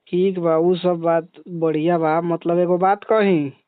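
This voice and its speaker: A man with a Bhojpuri accent in a casual phone chat, asking questions in leisurely, drawn-out phrases on a recorded call. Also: on the high side, level tone, dry recording, phone-quality sound. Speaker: comedy channel owner interviewing a guest.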